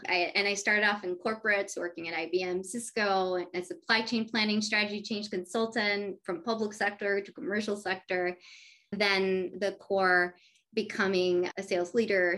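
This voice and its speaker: A woman's voice: medium pitch, fairly bright tone. An upbeat, businesslike woman whose first language is Spanish talks as a guest in a conversational interview. She explains her venture fund's work with enthusiasm, in a mid-range voice. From United States. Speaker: venture capital partner, guest.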